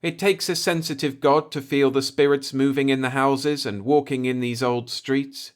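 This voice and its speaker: A man's voice: medium pitch, fairly even intonation, moderate pace, voice pushed up, lightly acted. A man voicing a stern, superstitious old alien native who speaks formally and insistently in a story. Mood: solemn. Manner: formal, insistent, archaic speech.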